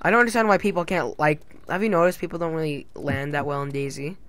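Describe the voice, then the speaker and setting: A man's voice: fairly high pitch, fast talker, a bit bright. A young man hosting a casual gaming commentary, joking, swearing and slipping into silly put-on accents. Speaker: gamer channel host.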